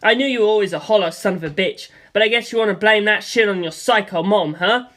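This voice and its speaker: A narrator voicing a brash, earnest young male fighter pilot in an excitable storybook reading. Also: mid-range voice, quick pace, close to an everyday voice. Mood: defiant yelling.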